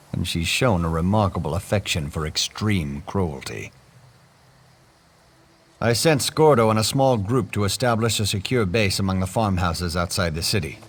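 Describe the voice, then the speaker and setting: A gruff, deep-voiced baron. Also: male voice, slight background noise. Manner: commanding, blunt, angry. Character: gruff male baron, commanding and hot-tempered.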